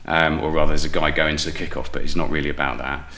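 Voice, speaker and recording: A man's voice: extremely low pitch, flat delivery, quick pace, bright, forceful tone. A middle-aged man, explaining things chattily as a guest in a casual interview. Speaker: guest, playwright and project founder.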